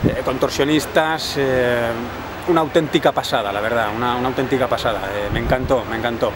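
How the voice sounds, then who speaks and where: A man's voice: mid-range voice, noisy background. A man in his thirties with a Spain Spanish accent, talking casually to camera as a vlogger. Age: 30s. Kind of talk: casual vlog talk to camera. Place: event venue and park, noisy surroundings. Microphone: camera at arm's length. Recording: crowd and music behind, outdoor handheld camera.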